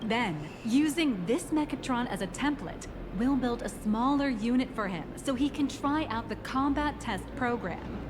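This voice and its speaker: An actress playing a polished, upbeat corporate tour guide in an anime game, with scripted delivery. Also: female voice, fairly high pitch, expressive, a little slow, few pauses, over music. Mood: cheerful.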